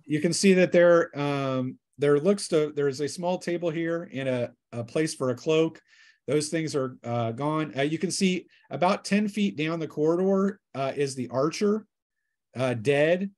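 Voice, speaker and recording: A man working as game master, narrating a tabletop role-playing session in a casual, improvised, halting way. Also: a little high, darkish tone.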